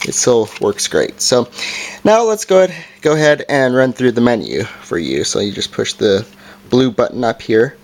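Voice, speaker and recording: A man's voice: mid-range voice, very animated, moderate pace. A man giving an informal, hands-on product review in a casual explaining style, recorded indoors. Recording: background noise with handling clicks.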